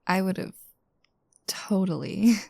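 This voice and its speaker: Woman acting a drowsy, creaky-voiced teasing girlfriend. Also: mid-range voice, dry recording, clean studio sound. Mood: teasing, playful. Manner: soft, breathy asides, teasing, unhurried. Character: teasing, playful new girlfriend waking up drowsy. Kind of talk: intimate girlfriend roleplay audio.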